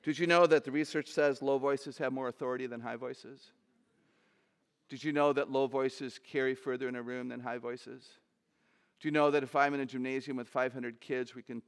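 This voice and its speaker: Woman in her sixties, low voice, animated humorous speaker, large stage, headset mic. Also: a little fast, vocal fry. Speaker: conference speaker.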